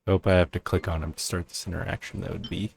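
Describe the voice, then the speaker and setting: A man giving casual, low-key commentary on a video game as he plays, musing to himself. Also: level tone, fast, creaky, slight background noise. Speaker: gameplay streamer.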